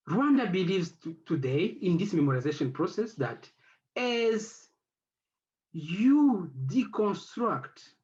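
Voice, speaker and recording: A man whose first language is French speaks on an academic panel. His voice sits a little high and moves a lot in pitch. He pauses and searches for words, and the recording sounds dull and lo-fi. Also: darkish tone. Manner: halting, searching for words with many fillers. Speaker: head of an institute.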